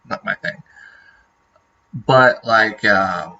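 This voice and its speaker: A man's voice: fairly low pitch, drawn-out words, sharp tone, lo-fi sound. Norwegian man chatting on a livestream. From Norway. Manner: rambling, many fillers and restarts. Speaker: streamer. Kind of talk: casual livestream chat.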